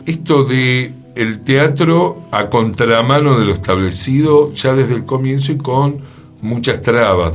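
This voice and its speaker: A man with a Buenos Aires Argentine accent hosting a radio talk, explaining things in a reflective, conversational way. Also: medium pitch. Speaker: radio show host. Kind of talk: conversational talk on theatre history. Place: radio programme, guitar music playing behind the voice.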